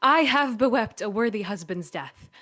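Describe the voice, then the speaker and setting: A woman's voice: a little high, animated, moderate pace, pushed and bright. A woman playing a dignified, bitter old royal grandmother in a Shakespearean radio play, speaking formal declamatory verse. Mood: bitter grief.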